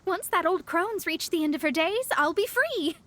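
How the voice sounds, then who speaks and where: A proud young astrologist. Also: female voice, very high-pitched, expressive, normal speed, full character voice. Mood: haughty. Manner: haughty, stammers when cornered. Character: proud young female astrologist, haughty yet flustered. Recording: game voice track over soft music.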